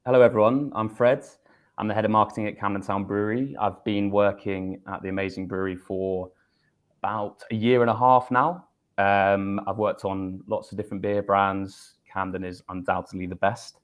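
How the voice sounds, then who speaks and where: A man's voice: lowish voice, steady tone, moderate pace. A man joining a live stream over a video call as a guest, friendly and explanatory as he tells a story. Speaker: brewery marketing head, guest.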